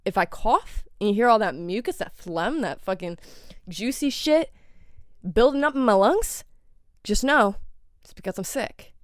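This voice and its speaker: Young woman, podcast host, congested, rambling and candid, smooth voice, dry close sound. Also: medium pitch, expressive, unhurried, no echo. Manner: rambling and candid, frequent swearing and fillers. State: congested with a cold. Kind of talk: casual solo podcast monologue.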